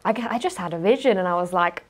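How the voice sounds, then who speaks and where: A young British woman in her twenties speaks with a slightly low voice. She is an interview guest, chatting informally and telling anecdotes in a hedging, conversational way. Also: quick pace. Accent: British English. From grew up partly in Spain, lives in the UK. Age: 20s. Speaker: interview guest, marketing manager.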